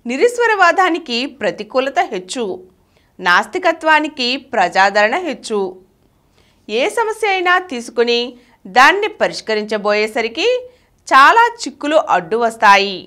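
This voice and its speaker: A woman reading an essay from a script in a measured, didactic manner. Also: a little high, very animated, pushed and bright. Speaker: audiobook reader.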